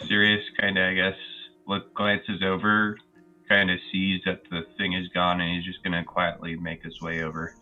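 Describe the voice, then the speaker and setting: Deep-voiced, hesitant male player. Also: monotone, moderate pace, pushed and bright, dull audio, faint music behind. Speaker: tabletop game player. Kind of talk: casual roleplay narration.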